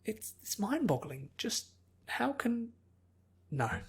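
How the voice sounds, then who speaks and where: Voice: mid-range voice, sing-song, slow pace, husky, pitched above the performer's own voice, exaggerated voice. A narrator voicing an anxious, bookish young woman who speaks carefully and earnestly in an acted audiobook. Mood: baffled. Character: anxious, bookish pony princess turned human young woman.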